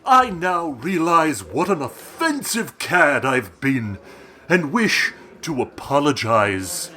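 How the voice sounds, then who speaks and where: An actor playing a pompous, theatrical cad who declaims grandly, in a comedy audio drama. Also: male voice, a little high, big pitch swings, takes it slowly, bright, forceful tone, a little hiss or hum, exaggerated voice.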